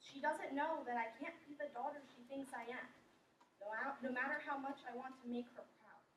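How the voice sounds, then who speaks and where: A woman's voice: high-pitched, moderate pace, a bit bright, a little hiss or hum. A young woman acting a rebellious teenage daughter of strict parents in a stage play, with an earnest, defiant delivery. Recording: echoey hall from far off.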